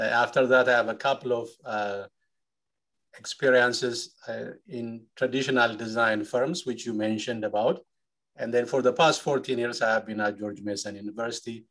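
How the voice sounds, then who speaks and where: A middle-aged man from Ethiopia walks through his slides for a webinar audience. He speaks at a middle pitch in a measured, explanatory way and often pauses with "uh". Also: fairly bright tone. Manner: measured, with frequent "uh" fillers. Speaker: engineering professor, webinar panelist.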